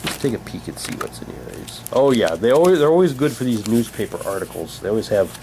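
A man's voice: fairly low pitch, very animated, fast talker, noisy background. A man casually commenting and reading documents aloud as he presents an unboxing. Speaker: unboxing vlogger.

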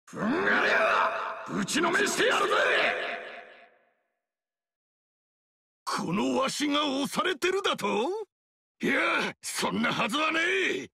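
An actor playing a boisterous, burly barbarian king who shouts rough battle cries and boasts loudly in a video game. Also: very high-pitched, very animated, moderate pace, dry recording, clean studio sound, full character voice. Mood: brash boasting.

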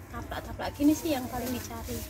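Chatty high-voiced woman vendor. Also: a little mellow. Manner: chatty, talkative, quick asides. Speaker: market stall vendor. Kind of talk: casual news interview. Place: indoor market stall among fabrics.

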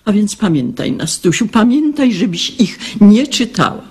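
An actress playing a suspicious, moralizing old grandmother in a radio drama, with a husky, raspy voice and a fussy, admonishing manner. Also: mid-range voice, very animated, measured pace, darkish tone, exaggerated voice. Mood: stern, admonishing. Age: older.

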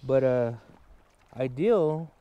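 A man's voice: medium pitch, animated, extremely slow, highs cut off, slight background noise. A man vlogging while fishing in a river, casually explaining his setup step by step with plenty of fillers. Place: outdoors standing in a river.

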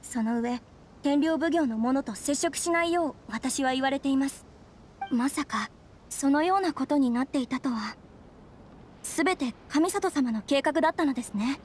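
An actress playing a polite, anxious young noble lady in an anime game, gentle, formal and earnest. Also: sits high, moderate pace, slight background noise, natural voice.

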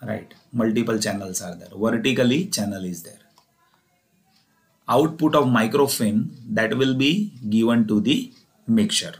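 Indian-accented man giving a technical lecture. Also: medium pitch. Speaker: engineering lecturer. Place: voice-over recorded over slides.

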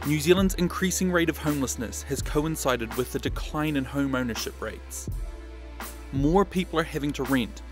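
A man narrating a news documentary in an even, scripted, informative delivery. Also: a little high, moderate pace. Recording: voice-over with music.

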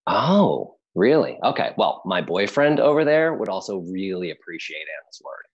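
A friendly, flattering man in his thirties at a comedy table read. His voice is low and husky with lively intonation. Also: moderate pace, lo-fi sound, exaggerated voice. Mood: curious, flattering. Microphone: earbud headset on a video call.

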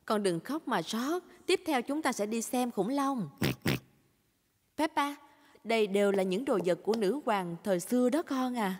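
A woman voicing a gentle, patient mother pig in a cartoon dub, explaining things, over music. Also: on the high side, lively intonation, normal speed, in a large hall. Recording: music behind the voice.

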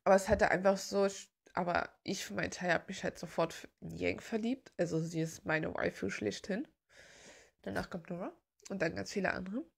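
Gushing woman with a gravelly creak. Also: on the low side, fairly even intonation, a little fast. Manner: gushing, giggly. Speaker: video host. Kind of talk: casual rambling fan chat.

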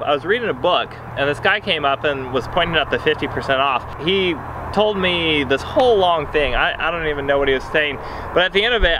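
A man's voice: on the high side, background noise. A young man co-hosting a vlog, chatting playfully to camera outdoors in an open field. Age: young adult. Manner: playful, laughs at his own jokes. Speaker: vlog co-host. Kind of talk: casual chat to camera. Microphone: camera mic on a tripod, medium shot.

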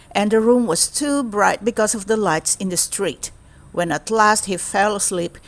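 Slow-paced woman reading a storybook. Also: mid-range voice. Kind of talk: children's storybook read-aloud.

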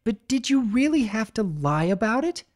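Woman reader playing a stern pony mare scolding a child, raised and lively. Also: on the high side, normal speed, voice pushed up. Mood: lecturing. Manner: lecturing, indignant. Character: stern young female pony scholar, scolding.

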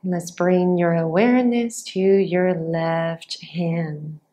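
A woman teaching yoga nidra as a guided meditation, giving short, measured instructions with long pauses between them. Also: on the low side, fairly even intonation, mellow.

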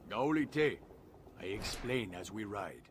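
An actor playing an earnest, reverent alien warrior scout, declaiming in clipped, stilted English for a video game. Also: male voice, on the low side, expressive, a little slow, slight background noise. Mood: reverent, proud. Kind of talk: video game dialogue acting.

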